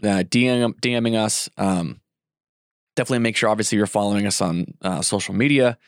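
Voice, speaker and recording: A man's voice: on the low side, fairly quick, dry recording, clean studio sound. A congested man hosting a casual podcast, rambling with fillers and restarts. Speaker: podcast host.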